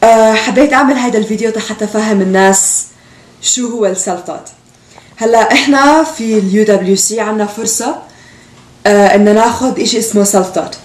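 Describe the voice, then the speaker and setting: Palestinian young woman, very fast explanatory delivery, roomy echo, far from mic. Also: medium pitch. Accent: Palestinian Arabic. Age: late teens. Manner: explanatory, quick. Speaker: student presenter. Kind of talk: explanatory video narration. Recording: echoey room, distant mic.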